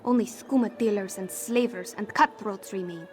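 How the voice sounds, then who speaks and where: A woman's voice: mid-range voice, normal speed, soft background music, close to an everyday voice. A woman voicing an anxious, street-hardened female cat-folk thief in a fantasy game, defensive and speaking in formal, contraction-free phrasing. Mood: bleak, earnest. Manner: refers to herself in third person, formal contraction-free phrasing. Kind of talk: fantasy game character dialogue.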